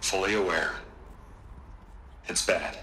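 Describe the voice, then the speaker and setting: An actor playing a slick, evasive tech tycoon in a game cutscene, talking in a smooth, placating and defensive way. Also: male voice, low voice, fairly even intonation, takes it slowly, pushed and bright, a little hiss or hum, exaggerated voice. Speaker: company head.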